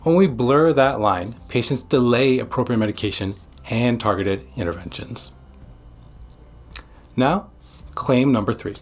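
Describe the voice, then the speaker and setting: Man presenting a scripted explainer, slightly husky. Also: medium pitch. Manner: measured, emphatic, short deliberate phrases. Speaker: psychiatrist presenting an explainer video. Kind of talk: scripted educational narration to viewers.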